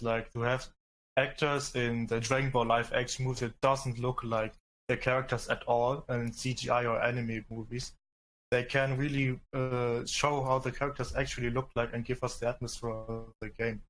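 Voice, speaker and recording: A man with a medium-pitched, very even voice takes part in a casual podcast discussion. Faint noise sits behind his words. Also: normal speed, low-quality recording. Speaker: podcast panelist.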